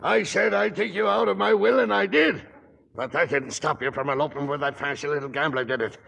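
An actor in a 1940s radio drama playing a domineering, stubborn old grandfather with a gruff, raspy voice, barking out his demands. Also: on the high side, a little fast, pushed and bright, in a large hall, exaggerated voice. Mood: bitter, stern. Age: older. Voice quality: gravelly, raspy.

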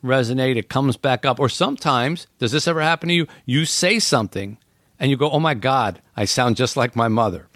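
A middle-aged man speaks with earnest warmth of thought, pausing on "you know" as he goes. His voice sits in an ordinary middle register. The recording is dry and close. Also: mid-range voice, dry recording. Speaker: podcast guest. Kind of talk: reflective casual conversation.

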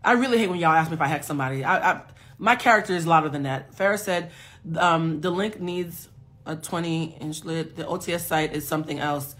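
A woman's voice: low voice, brisk, fairly bright tone. A woman in her forties hosting a casual live stream, talking emphatically to viewers and reading out their comments. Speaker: host of a live stream and self-mastery coach. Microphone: phone.

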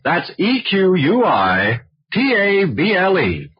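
High, husky vintage radio pitchman. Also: huge pitch swings, takes it slowly. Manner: emphatic, persuasive. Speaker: announcer and insurance pitchman. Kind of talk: scripted 1940s radio advertising. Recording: muffled vintage radio recording.

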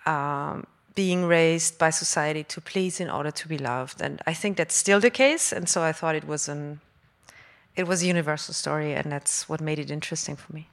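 Austrian woman on a stage panel, kinda low voice, lots of restarts. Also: gravelly. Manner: halting, with frequent restarts. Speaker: film director. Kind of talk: panel conversation. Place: live stage event before an audience.

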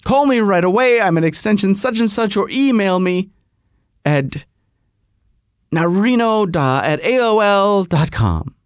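A flustered school office woman rattles off a pleading, run-on voicemail in a raised, anxious voice, as a man puts her on. Her pitch climbs well above a normal speaking voice and she sounds urgent. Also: expressive, measured pace, no echo, studio quality, natural voice.

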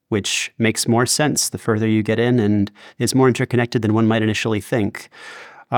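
A man's voice: medium pitch, no echo, studio quality. A man talking casually as a podcast guest about producing audio drama, in an interview style.

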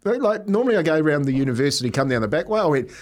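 Middle-aged male Kiwi radio host, higher-pitched, animated storyteller with an easy chuckle, casual banter. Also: very fast. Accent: New Zealand. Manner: animated, jokey storyteller who often chuckles while talking.

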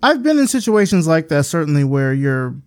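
A man's voice: fairly high pitch, very animated, fairly quick, dry recording, studio quality. A man hosting an interview podcast, speaking thoughtfully and choosing his words with care. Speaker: podcast host. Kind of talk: interview conversation.

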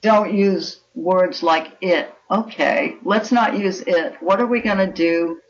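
A woman's voice: fairly low pitch, takes it slowly. An older woman speaking as an interview guest, giving opinionated, emphatic answers over slightly muffled, distant audio. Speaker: guest writer and anthology editor. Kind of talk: interview conversation.

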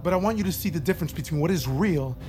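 An actor playing a military psychiatrist in an audio drama, calm and probing, with a patient, clinical manner. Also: male voice, a little high, rapid-fire, over music, natural voice. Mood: sceptical. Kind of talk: naturalistic audio drama acting.

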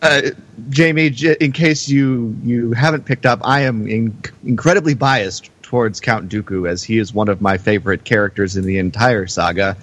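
Man hosting a podcast, halting delivery. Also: lowish voice, a bit bright. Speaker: podcast host. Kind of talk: casual podcast chat.

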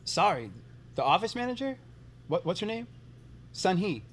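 An actor playing a goofy, eager new coworker who rambles in a casual, enthusiastic way, in an audio drama. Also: male voice, high-pitched, sing-song, moderate pace, a bit bright, full character voice. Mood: curious, eager.

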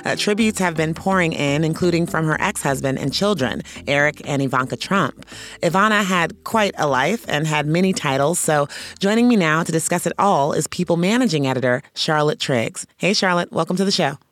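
A woman hosting an entertainment news show, with brisk, conversational presenting. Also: low voice, lively intonation, a bit bright.

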